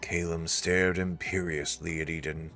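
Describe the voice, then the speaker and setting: A man's voice: low-pitched, flat delivery, a little slow, sharp tone, faint music behind. A man narrating a scripted story as its narrator.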